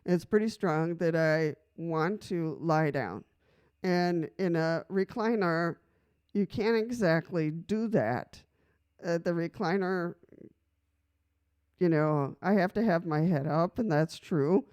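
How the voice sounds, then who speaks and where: Slow-talking woman from Costa Rica. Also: lowish voice, darkish tone, smooth. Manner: chatty and rambling, with many fillers and frequent laughs. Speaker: vlogger. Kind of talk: casual personal vlog talk.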